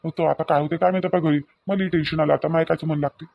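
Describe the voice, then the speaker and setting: A man's voice: fairly high pitch, steady tone, fast talker, creaky, highs cut off. A man playing an agitated young newlywed husband in a family drama, repeating himself, with a Varhadi Marathi accent. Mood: tense. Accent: Varhadi Marathi of Vidarbha. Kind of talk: amateur family comedy-drama dialogue.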